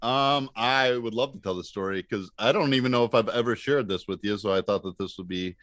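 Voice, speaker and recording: A man with a fairly low voice chats as a podcast guest, recorded very dry and close. He is casual, easygoing and agreeable. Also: fairly quick, a bit bright, no room sound. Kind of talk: casual chat.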